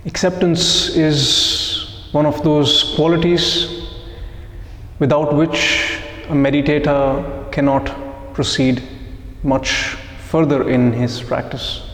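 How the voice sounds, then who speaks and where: A man leading a guided meditation session for a group, speaking in measured, deliberate phrases with pauses. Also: fairly high pitch, little pitch movement, fairly bright tone. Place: echoey indoor room, live group session. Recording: echoey room with long reverb.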